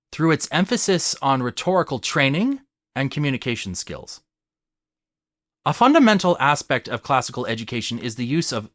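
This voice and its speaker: Male essay narrator, mid-pitched and animated, formal and earnest like a lecturer, recorded dry. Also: dry recording. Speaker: video essayist. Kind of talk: scripted argumentative narration.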